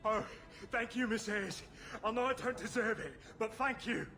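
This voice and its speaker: A high-pitched, pleading peasant. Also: male voice, normal speed, sharp tone, faint music behind. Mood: meek. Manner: pleading, deferential, folksy rustic grammar. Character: desperate, humble male refugee miner, meek and pleading. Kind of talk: video game cutscene acting.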